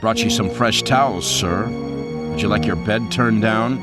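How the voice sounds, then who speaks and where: A man plays a wisecracking American prisoner-of-war captain in a video game. His voice is on the low side, cocky and sardonic in an easy way, with loud music behind it. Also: measured pace, close to an everyday voice. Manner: sardonic, laid-back. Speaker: captured pilot. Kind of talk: video game cutscene acting.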